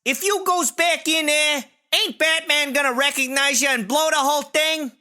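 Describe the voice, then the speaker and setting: A dopey henchman lackey, straight out of a comic radio play. The voice is extremely high and piercingly bright, mangling grammar in gangster slang. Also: moderate pace, exaggerated voice. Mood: doubtful. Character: dim henchman thug, the boss's squeaky-voiced lackey. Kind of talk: comic audio drama acting.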